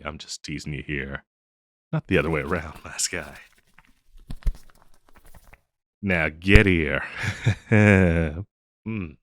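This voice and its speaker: A man's voice: deep voice, fairly bright tone, no echo, clean studio sound. A man playing an affectionate, easily flustered harpy boyfriend in an intimate audio roleplay, speaking tenderly and a little hesitantly with a teasing streak. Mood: teasing, cosy.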